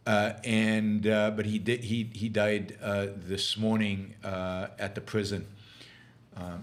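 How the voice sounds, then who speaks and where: A middle-aged man hosting a political commentary show, speaking in a halting way with many fillers and restarts, and turning scornful and sarcastic. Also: lowish voice, little pitch movement. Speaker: podcast host.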